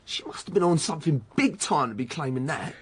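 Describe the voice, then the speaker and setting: Radio drama role: cocky London footballer under police questioning. Slightly husky, slangy, defensive and evasive. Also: male voice, a little high, animated, brisk. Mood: defensive, rattled. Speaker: actor. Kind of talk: naturalistic radio drama acting.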